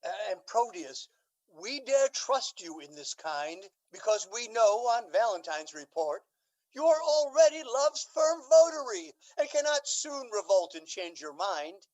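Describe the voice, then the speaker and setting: Actor as a smug pompous duke in shakespeare radio drama, really slow n deliberate, voice goes up and down a lot. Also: medium pitch, sing-song, a little mellow, low-quality recording. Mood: pompous approval. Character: pompous, self-satisfied scheming old duke.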